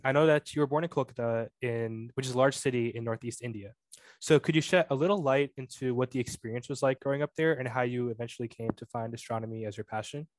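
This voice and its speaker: A man's voice: mid-range voice, no echo. A man in his twenties co-hosting a podcast, asking friendly interview questions with a few fillers. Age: 20s.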